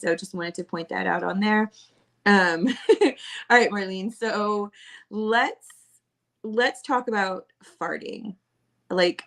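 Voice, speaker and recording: Woman, podcast co-host, casual chat, gravelly, laughs a lot, teasing. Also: medium pitch. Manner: laughs often, bubbly and teasing.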